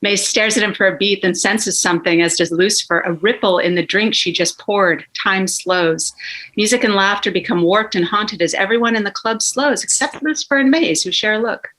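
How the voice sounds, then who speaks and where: Bright, forceful woman narrator. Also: mid-range voice, moderate pace, low-quality recording. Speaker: narrator reading stage directions. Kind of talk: dramatic scripted narration.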